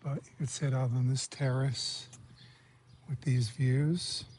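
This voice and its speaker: Mellow-voiced man muttering travel asides. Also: mid-range voice, flat delivery, slow pace, a little hiss or hum. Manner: chatty, muttering asides, sometimes whispering. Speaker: travel vlogger. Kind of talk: casual walking vlog commentary. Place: outdoors in a village street and on a café terrace.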